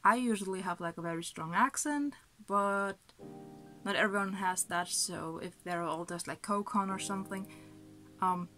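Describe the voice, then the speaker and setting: A woman's voice: mid-range voice, moderate pace, pushed and bright, faint music behind. A young woman vlogging casually from a small bedroom, hesitant and chatty with many fillers, sounding a little sick. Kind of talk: casual talk to camera and food voice-over.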